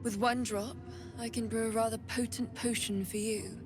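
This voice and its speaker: A woman playing an eerie, imperious blood trader with morbid curiosity in a video game, speaking in a measured, silky, haughty way. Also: on the high side, flat delivery, background noise, natural voice. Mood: silky, intrigued. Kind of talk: dramatic video game acting.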